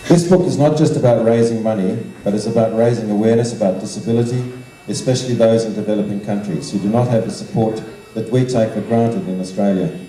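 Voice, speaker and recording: An older man giving a measured, formal prepared speech to an evening gathering. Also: on the low side, warm tone. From Zimbabwe. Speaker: guest speaker, art teacher and children's author. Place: large indoor function room. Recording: roomy, echoing hall sound, distant microphone.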